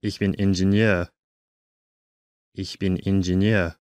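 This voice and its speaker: A man teaching a language course, saying each short phrase twice in slow, careful drills. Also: sits low, level tone, a little mellow, dry recording.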